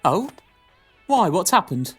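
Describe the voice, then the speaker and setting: A woman playing a sharp-tongued, exasperated mother with a sarcastic manner in a pantomime audio drama. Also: lowish voice, big pitch swings, slow, breathy edge, soft background music, exaggerated voice. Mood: expectant. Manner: sarcastic, scolding.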